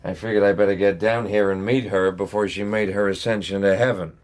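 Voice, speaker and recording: An actor playing a worldly, teasing older brother in a radio drama, dry and sarcastic. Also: male voice, fairly low pitch, level tone, fairly quick, a little mellow, lightly acted. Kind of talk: naturalistic radio drama acting.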